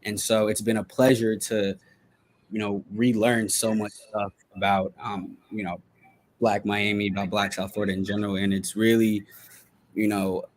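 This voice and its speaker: A man with an American accent and a fairly low voice talks as a guest in an interview. He explains his views earnestly and conversationally, like a journalist walking someone through what he has learned. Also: little pitch movement, normal speed, slight background noise. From Delaware, United States.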